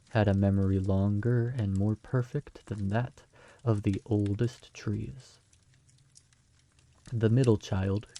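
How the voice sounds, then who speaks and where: A man playing a calm, patient storyteller telling a bedtime tale in a fantasy audio drama. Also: lowish voice.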